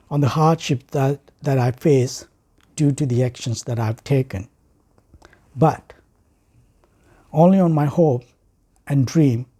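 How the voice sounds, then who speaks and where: A Sri Lankan man reads out a prepared speech in a very dark, soft-edged voice. His tone is husky and mid-pitched. He speaks formally and deliberately, setting off phrases with pauses for emphasis. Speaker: writer and speaker.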